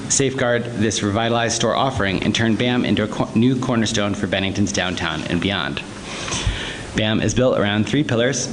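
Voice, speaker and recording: A stuffed-up man from Vermont reading an upbeat, promotional statement as a public commenter at a town meeting. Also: on the low side. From Vermont, USA. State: sniffly, stuffed up. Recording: noisy local-access meeting recording.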